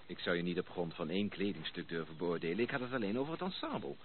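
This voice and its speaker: Casting: polite young gentleman, low register, mildly reproving, vintage radio drama with narrow, noisy sound. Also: expressive. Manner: polite, measured, questioning. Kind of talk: Dutch radio play acting. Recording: muffled narrow-band old radio recording, noisy.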